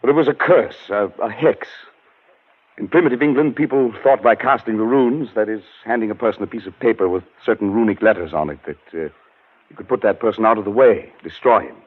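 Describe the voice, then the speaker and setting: An actor playing a haunted scholar who narrates his own tale in a grave, measured 1940s radio drama style. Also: lowish voice, breathy edge. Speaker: narrator and lead character. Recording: tinny old radio broadcast.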